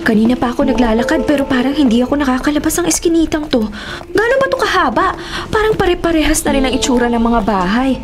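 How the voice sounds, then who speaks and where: Sassy young manila girl vlogger, high voice, sarcastic radio drama, music behind. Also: fast talker. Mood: nervous, alarmed. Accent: Filipino, Manila. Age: young adult. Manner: expressive, sarcastic, mixes in English slang. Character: sassy, easily spooked young woman travel vlogger. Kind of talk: Tagalog radio drama acting with inner narration.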